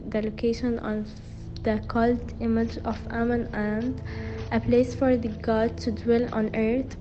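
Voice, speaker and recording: A woman's voice: fairly high pitch, dull audio. A woman reading scripted documentary narration, sometimes stumbling and repeating words as she reads.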